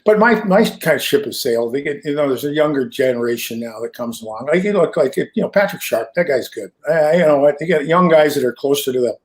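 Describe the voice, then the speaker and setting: A man's voice: a little high, animated, fast talker, few pauses. A man, a hockey broadcaster, chatting casually and rambling with plenty of fillers on a video call. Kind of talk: casual chat.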